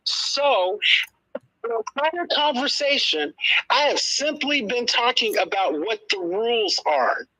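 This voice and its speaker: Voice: medium pitch, lively intonation, slow, bright, forceful tone, rough recording. A speaker in their sixties in a live political debate, explaining insistently and repeating points for emphasis. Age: 60s. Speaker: panelist in a live audio discussion.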